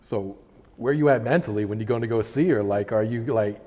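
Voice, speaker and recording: A man asking questions as a casual interviewer, in relaxed conversational phrasing. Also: on the low side, brisk. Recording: echoey, reverberant hall.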